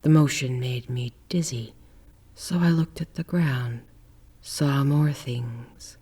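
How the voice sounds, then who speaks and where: Man as a very slow, bewildered childlike stranger. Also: mid-range voice, darkish tone, husky. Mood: dreamy, dazed. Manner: slow, halting, dreamy, rambling in short fragments. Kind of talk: dramatic horror story reading.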